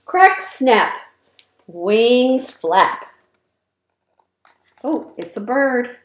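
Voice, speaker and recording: A woman in her fifties reading a picture book aloud to young children, stressing words deliberately and expressively. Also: on the high side, slight background noise. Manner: slow, deliberate, expressive word emphasis. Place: indoor room at home, by windows. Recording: roomy, echoey webcam sound.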